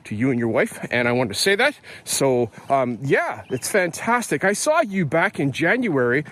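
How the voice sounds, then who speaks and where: A man's voice: a little high, big pitch swings, moderate pace, a bit bright. A middle-aged Canadian man hosting a podcast, talking casually with many fillers and restarts while out on an early morning walk. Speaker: podcast host. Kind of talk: casual spoken reflection.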